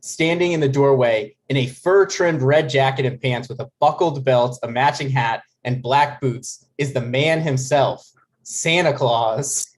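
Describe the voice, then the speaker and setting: A man narrates screen directions for a live script reading. His voice sits at a middling pitch as he introduces and describes each scene. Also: measured pace. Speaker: host and reader of screen directions.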